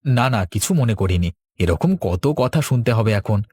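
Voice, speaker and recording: Man as cartoon village son, young farmer, steady, earnest, slightly rough voice, dry sound. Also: fairly low pitch, normal speed, dry recording. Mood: patient. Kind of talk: cartoon dialogue.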